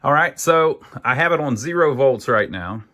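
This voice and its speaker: A man's voice: medium pitch, animated, moderate pace, pushed and bright. A man casually thinking aloud as he narrates a hands-on repair, with a folksy, wry sense of humor. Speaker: amateur radio repairer and video host.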